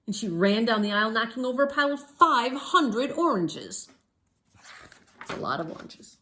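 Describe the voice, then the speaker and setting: A woman reading a children's story aloud as a storyteller, expressive and emphatic. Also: a little high, normal speed, a little hiss or hum.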